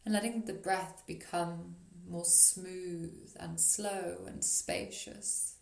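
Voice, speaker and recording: Low, creaky-voiced woman guiding meditation. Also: steady tone. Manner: soothing, gentle, unhurried with long pauses. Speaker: yoga nidra meditation guide. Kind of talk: guided meditation. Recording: roomy echo on the voice.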